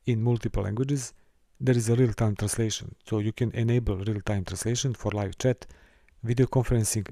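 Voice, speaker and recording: A man from Serbia, teaching a course and walking through a software console, with careful, halting phrasing. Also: lowish voice, no room sound, studio quality. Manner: careful, halting delivery with small restarts and non-native phrasing. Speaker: course instructor. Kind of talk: screen-recorded software tutorial.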